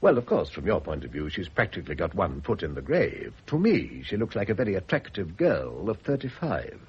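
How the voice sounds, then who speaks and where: An actor playing a suave, evasive middle-aged British gentleman and teasing seducer in an urbane, sardonic radio drama style. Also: sits low, huge pitch swings, brisk, breathy edge, full character voice. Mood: wry, teasing. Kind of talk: 1970s radio drama acting. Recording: dull vintage radio recording with faint hiss.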